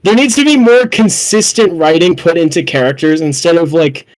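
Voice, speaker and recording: Earnest higher-voiced man chatting casually. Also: normal speed, rough recording. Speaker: podcast panelist discussing films. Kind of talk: casual chat.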